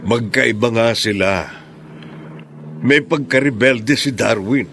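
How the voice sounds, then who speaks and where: Stern father, radio drama, middle-aged, creaky mid-pitched voice, firm, light vehicle noise behind. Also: takes it slowly, a bit bright. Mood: worried, resigned.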